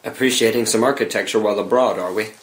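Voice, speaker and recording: A man's voice: lowish voice, quick pace, slight background noise, natural voice. A man playing a dry, courteous older butler in a screenplay table read, formal and dryly witty. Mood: dry, caring. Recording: echoey room under score music.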